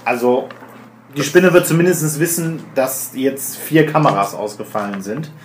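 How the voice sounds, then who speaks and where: A man's voice: mid-range voice, animated, moderate pace, close to an everyday voice. A man voicing a stressed, irritable hacker talking emphatically to teammates in a tabletop roleplaying game. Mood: worried. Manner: agitated, emphatic, sweary. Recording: roomy echo with clear noise.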